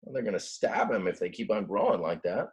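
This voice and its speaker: A man with a fairly low voice reads a children's story aloud. He narrates expressively, in the way of a teacher. His explanations are simple and casual. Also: big pitch swings, fairly quick. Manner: explains words simply in plain asides.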